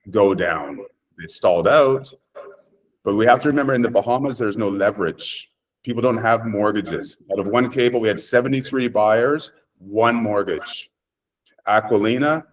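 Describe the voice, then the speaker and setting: A man's voice: fairly low pitch, rough recording. A middle-aged man from Canada giving conversational interview answers as a property developer, emphatic and speaking in short declarative sentences.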